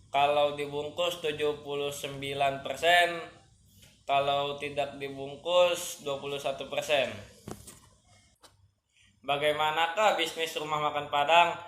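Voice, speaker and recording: A young man in his late teens reads out a school assignment. His voice sits a little high and has a bright, forceful edge. The intonation stays very flat, giving a stiff, recited student delivery. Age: late teens, young adult. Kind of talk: reading a school report aloud.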